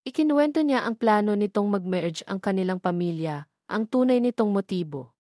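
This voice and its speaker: A woman's voice: mid-range voice, expressive, fairly quick, mellow, dry recording. Woman narrating a dramatic love story, dry sound.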